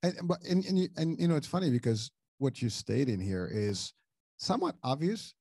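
A man's voice: on the high side, sing-song, normal speed, vocal fry, a little mellow, slightly rough, no room sound, clean studio sound. A middle-aged man from Morocco hosting a casual interview, talking in long rambling sentences full of restarts and repeated words. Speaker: podcast host.

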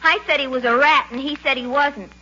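A high, bright, chatty young girl. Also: fairly even intonation, fairly quick. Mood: indignant, rambling. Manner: breezy, rambling. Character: chatty, naive, man-crazy young working girl. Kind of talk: 1940s radio comedy acting. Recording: muffled, faintly noisy 1940s radio recording.

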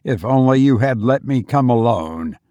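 A man's voice: mid-range voice, animated, normal speed, dark tone, no echo, lo-fi sound, lightly acted. A man playing a grim, grieving doctor and father in a story reading, weary and pleading with a groan in his voice. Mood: horrified, groaning.

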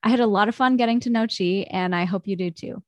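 A woman's voice: medium pitch, fast talker. A young woman hosting a podcast interview, chatty and upbeat. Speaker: podcast host.

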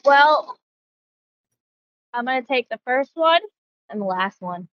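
A woman's voice: high voice, lively intonation, slow, a bit bright, slight background noise. A woman chatting casually as a player in a tabletop game, recorded in dull lo-fi sound.

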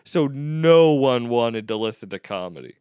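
A man's voice: medium pitch, measured pace, smooth, no room sound. A man, a stand-up comedian, telling a story in casual chat and acting out the people in it. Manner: animated storyteller, quotes people in raised voices.

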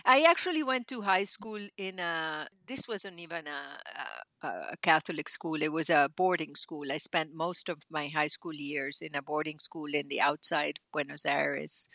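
A woman in her sixties from Argentina, telling her life story as a podcast guest, animated and full of fillers and restarts. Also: low-pitched, moderate pace, hardly any pauses, dry recording. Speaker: podcast guest, spiritual teacher. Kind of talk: casual interview storytelling.

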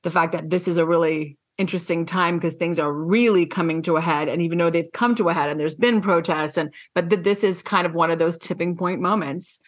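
A woman's voice: on the low side, a little fast, few pauses. A woman hosting a podcast interview, effusive and affirming in how she talks. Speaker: podcast host. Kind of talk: scripted guest introduction, then casual interview chat.

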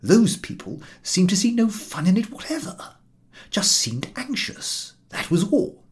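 A man's voice: high voice, big pitch swings, measured pace, voice pushed up. A man voicing a baffled, indignant Englishman airing a comic grievance in an audiobook, in an exclamatory, aggrieved way. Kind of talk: audiobook character dialogue.

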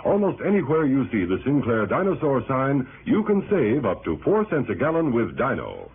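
A man's voice: mid-range voice, wildly swooping pitch, moderate pace. A man reading a scripted 1960s radio advertisement as a commercial announcer. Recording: tinny, noisy 1960s radio recording.

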